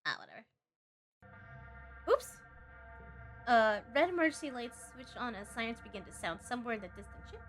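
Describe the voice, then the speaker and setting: A woman streaming a game live, chatty and expressive, reading the on-screen story aloud and tossing in playful asides. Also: fairly high pitch, brisk, long pauses, soft background music, low-quality recording. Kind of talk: live let's-play commentary, reading game text aloud.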